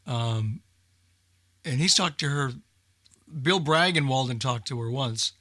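A man hosting a casual, unscripted radio talk show, speaking in a halting way and repeating words as he thinks. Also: mid-range voice, expressive, bright, forceful tone, no echo, studio quality.

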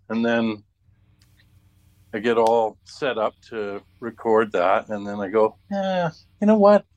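A man in casual chat, rambling and thinking aloud in a halting way, repeating words as he goes. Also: on the low side, poor audio.

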